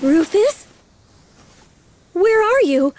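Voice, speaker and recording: A gentle young game heroine speaks slowly in a very high voice. She sounds earnest, anxious and hesitant, in scripted cutscene style. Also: sing-song, crowd noise, full character voice. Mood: worried.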